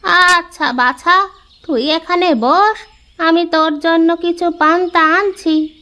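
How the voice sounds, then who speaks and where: A woman voicing a kindly old village woman in a cartoon, speaking in a slow, drawn-out way as she tests and blesses. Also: very high voice, bright, forceful tone, pitched above the performer's own voice, exaggerated voice. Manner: slow, drawn-out, addressing youngster familiarly. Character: old village woman granting boons, kindly but testing.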